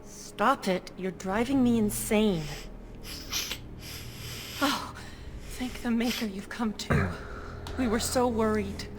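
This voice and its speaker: A defiant young elf woman in a fantasy game. She is forthright and exasperated, her voice slightly high, with clear noise around it. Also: slow, pauses often. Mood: exasperated relief.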